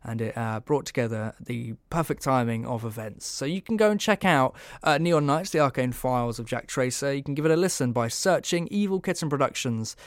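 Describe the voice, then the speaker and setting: A man's voice: medium pitch, a little fast, few pauses, no room sound, clean studio sound. A man hosting a podcast, giving a casual review in a hesitant, meandering way. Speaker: podcast host.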